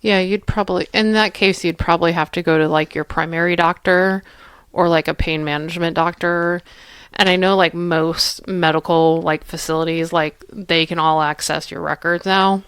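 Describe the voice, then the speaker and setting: Gravelly, smooth-voiced woman co-host. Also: on the low side, fairly even intonation, moderate pace, a bit bright, no echo. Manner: agreeable, lots of "like" fillers, short backchannels. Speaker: podcast co-host. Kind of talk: casual chat.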